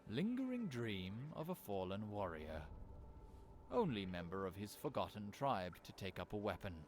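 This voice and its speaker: A man's voice: lowish voice, sing-song, normal speed, darkish tone. A man playing a solemn lore narrator of a fantasy game, in dramatic scripted delivery. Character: solemn male lore narrator of fallen warriors.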